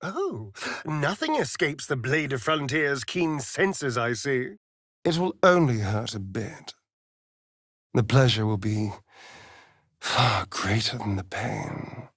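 An actor voicing a vain, sardonic vampire elf rogue in a video game, with an arch, drawling, theatrical delivery. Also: male voice, medium pitch, lively intonation, slow, fairly bright tone, dry recording, clean studio sound. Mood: teasing, sly. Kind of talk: video game character voice lines.

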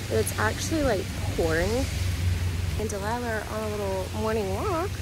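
A young woman vlogging casually into a phone held at arm's length, talking while she walks outside. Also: mid-range voice. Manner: chatty, filler "like", walking while talking. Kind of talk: casual vlog chat. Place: outdoors at a marina and in a car. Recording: noisy outdoor phone recording.

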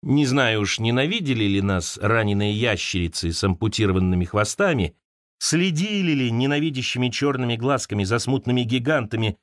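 A man narrating literary essays as an audiobook, expressively, with dramatic pauses and turns between wry and wistful. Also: medium pitch, lively intonation, no echo, studio quality. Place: close, dry studio recording.

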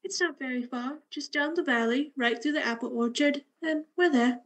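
A woman playing a gentle, obliging young country girl in a radio drama, speaking simply and politely. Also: sits high, moderate pace. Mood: shy, helpful. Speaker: student actor.

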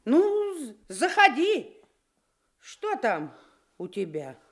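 An actress playing a curt, sharp-tongued village landlady with grumbling, colloquial speech in a radio drama. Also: high-pitched, huge pitch swings, drawn-out words, a little hiss or hum, full character voice. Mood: grumbling, irritated. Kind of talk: Soviet radio drama acting.

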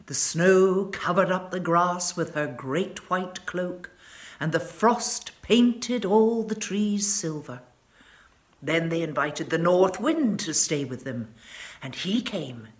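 A woman in her sixties tells a children's story at an unhurried pace. Her delivery is dramatic and measured, with pitch that rises and falls widely. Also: expressive. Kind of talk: expressive reading of a children's story. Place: furnished living room, indoors.

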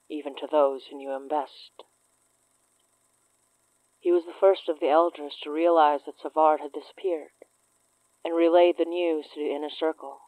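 A performer playing a solemn chronicler in a horror audio drama, reading a dossier in a measured, formal, deliberate way. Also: medium pitch, no room sound, narrow, tinny audio, natural voice.

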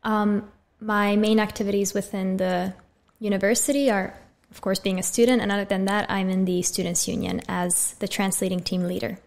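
A woman's voice: mid-range voice, normal speed, hardly any pauses. A woman in her twenties with an American accent, chatting as a podcast guest in a small studio on a condenser mic. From USA. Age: 20s. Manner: friendly, relaxed, frequent "so" openers. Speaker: podcast guest, medical student. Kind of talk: casual interview talk. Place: small bright studio room. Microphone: studio condenser mic on boom arm.

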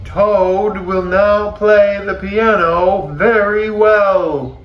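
Storybook stage announcer, played by a man: mysterious, boastful, high-pitched, stretching out each proclamation. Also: steady tone, recorded from a distance, muffled recording. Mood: grandly proclaiming. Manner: drawn-out, proclaiming. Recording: echoey room, faint noise.

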